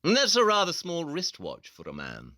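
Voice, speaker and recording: An actor playing a haughty, probing detective in an audio play, with precise, pedantic delivery. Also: male voice, on the high side, huge pitch swings, normal speed, bright, forceful tone, dry recording, studio quality, full character voice. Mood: cold, accusing. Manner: precise, clipped, pedantic interrogation.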